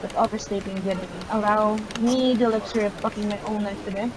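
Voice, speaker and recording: A woman gamer reading a visual novel's text aloud with casual asides, in a halting way that often repeats words. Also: mid-range voice, fairly even intonation, background noise. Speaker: gameplay video maker. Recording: loud music behind the voice. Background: game soundtrack with piano.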